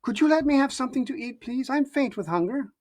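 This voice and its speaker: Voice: high-pitched, fast, voice pushed up. A storyteller doing an eager young country boy. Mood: polite. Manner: eager, excited, at times hesitant.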